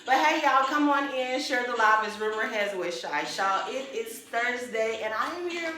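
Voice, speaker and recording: A woman's voice: fairly high pitch, expressive, normal speed. Bubbly woman host in echoey studio. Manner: bubbly, upbeat, exclamatory. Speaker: podcast host. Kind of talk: casual live-stream chat. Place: small studio. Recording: very echoey room.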